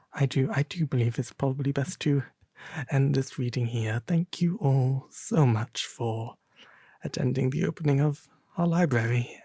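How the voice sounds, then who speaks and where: A man playing a melancholy, genteel owl demon prince, reading a storybook aloud in a soft, refined, breathy ASMR voice. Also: medium pitch, dry recording. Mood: wistful, halting. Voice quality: breathy, soft, often half-whispered. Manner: refined, gentle, formal diction.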